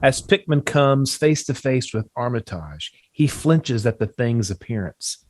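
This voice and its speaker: A man's voice: medium pitch, animated, measured pace, no room sound. A man reading stage directions from a horror screenplay as scripted narration.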